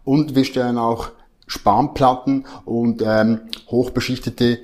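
A man's voice: medium pitch, fairly even intonation, slow, a little mellow. A man in his forties with a Swiss German accent, a podcast guest who talks earnestly with hesitations and self-corrections. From Switzerland. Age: 40s. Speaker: guest, marketing head. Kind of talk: podcast interview.

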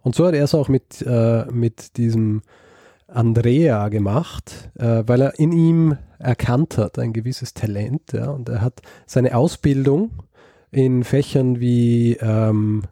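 Man, casual storyteller, very dark mellow voice, halting delivery, dry close sound. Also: mid-range voice, dry recording. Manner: hesitant, many ums and restarts. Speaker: podcast host telling a story.

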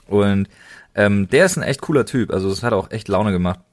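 A man's voice: fairly low pitch, fairly quick. A man chatting casually as a podcast co-host, enthusiastic and emphatic with plenty of slang.

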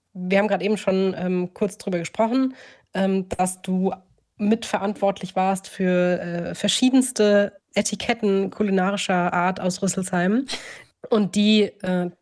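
A woman's voice: medium pitch, darkish tone. A woman co-hosting a casual interview, talking with enthusiasm and curiosity. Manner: enthusiastic, inquisitive. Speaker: podcast co-host.

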